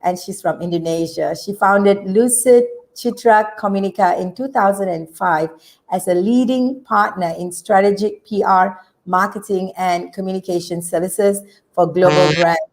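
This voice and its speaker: Bubbly, excitable woman host. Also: medium pitch, normal speed. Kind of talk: energetic interview hosting.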